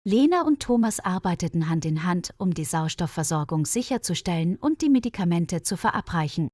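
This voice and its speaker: A woman narrating a scripted story in a clear, measured way for learners. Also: big pitch swings, darkish tone, dry recording, clean studio sound. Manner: clear, measured reading with quoted dialogue lifted higher.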